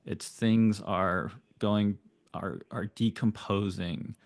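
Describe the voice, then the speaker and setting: A man speaking as an astrologer guest on a podcast, giving thoughtful, flowing explanations with occasional rapid bursts. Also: lowish voice, fairly even intonation, no room sound. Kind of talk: explanatory interview talk.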